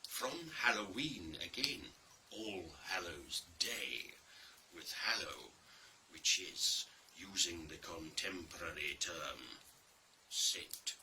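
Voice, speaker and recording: A man reading a scripted dramatic story in a deliberate, theatrical way, with pauses and pointed emphasis. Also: deep voice, expressive. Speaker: reader of a dramatic monologue. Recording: distant microphone, faint background noise.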